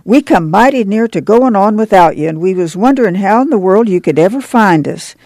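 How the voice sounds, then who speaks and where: Audiobook role: intense, caring farm ma, done by a woman, folksy wording, gravelly mellow voice, dry sound. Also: fairly low pitch, a little slow, no echo. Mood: relieved. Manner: folksy, ungrammatical country talk. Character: Ma, earnest, protective middle-aged farm mother, hesitant yet intense.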